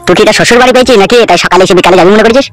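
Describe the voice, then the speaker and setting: A man's voice: high-pitched, very fast, fairly bright tone, faint music behind. A man voicing a boss's pushy, loyal henchman with a coarse, nagging manner in a comedy cartoon. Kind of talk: amateur cartoon comedy dubbing.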